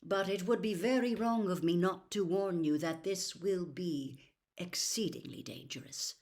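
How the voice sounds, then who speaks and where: Woman as elderly male wizard headmaster, storybook character, quiet, calm, slow, measured, grave. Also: on the low side, natural voice. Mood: quiet concern. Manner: measured, calm, gentle gravity.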